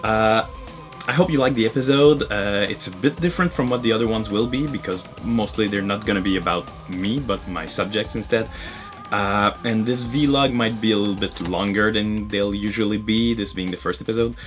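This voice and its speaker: A man's voice: on the low side, normal speed, soft background music. A man with a French Canadian accent, French his first language, hosting a casual vlog and talking hesitantly with many fillers. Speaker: host.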